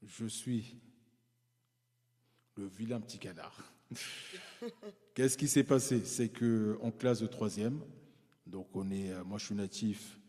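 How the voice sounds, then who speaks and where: Low, mellow-voiced man telling his story. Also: expressive, slow, pauses often, in a large hall. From France, parents from Congo-Brazzaville. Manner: hesitant, many fillers and restarts. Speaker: guest. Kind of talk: personal storytelling in conversation.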